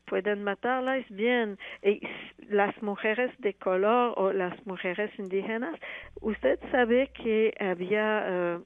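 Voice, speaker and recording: A woman, a playwright and actress speaking Spanish as a second language, answering interview questions haltingly with many restarts. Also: medium pitch, no room sound. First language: not Spanish. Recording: tinny phone line.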